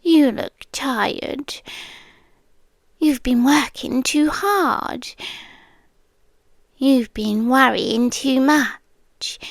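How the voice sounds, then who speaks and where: A woman acts out a doting, possessive British vampire girlfriend in an ASMR roleplay. Her breathy voice often slips into whispers and moves extremely slowly, with teasing menace beneath the sweetness. The sound is close and dry. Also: sits high, no room sound, full character voice. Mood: teasing, tender. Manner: slow, lingering, teasing menace. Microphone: close microphone, dry.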